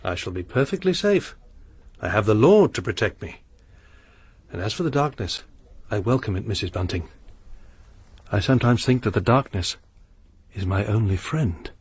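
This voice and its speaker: An actor playing a troubled, brooding gentleman lodger who speaks formally and solemnly in a radio drama. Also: on the low side, animated, moderate pace, long pauses, slightly rough.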